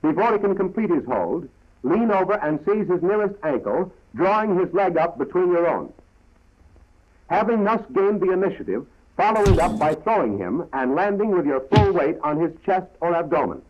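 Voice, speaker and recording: A man's voice: high voice, very animated, normal speed. An American man narrating a 1940s military training film in a formal, clipped, instructional manner, on a dull vintage soundtrack.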